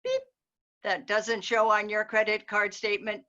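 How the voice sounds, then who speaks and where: A prying pizza clerk who knows far too much, read by a woman in a crisp, bright, tense voice. She is polite and coolly formal. The sound is dull and lo-fi, as from a video call. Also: mid-range voice, little pitch movement, moderate pace, natural voice. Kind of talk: amateur readers theater over video call.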